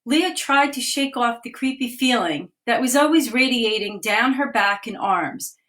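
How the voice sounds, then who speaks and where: Woman reading a children's story aloud. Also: on the high side. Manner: gentle, clear read-aloud pacing, pausing to point out the pictures. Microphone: phone or webcam on a live video stream.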